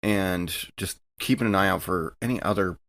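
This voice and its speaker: A man's voice: sits low, moderate pace, a bit bright, no room sound. A man playing a tabletop roleplaying game, casually describing his character's actions and rolls.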